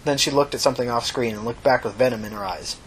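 A woman's voice: extremely low pitch, quick pace, slight background noise, rough recording. A woman reading scripted novel narration for a fiction podcast.

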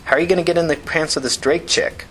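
A man's voice: on the high side, steady tone, quick pace, faint music behind, pitched above the performer's own voice, close to an everyday voice, rough recording. A man reading as a blunt, slangy young street guy. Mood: frank, companionable. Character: blunt, hot-tempered, foul-mouthed young street man.

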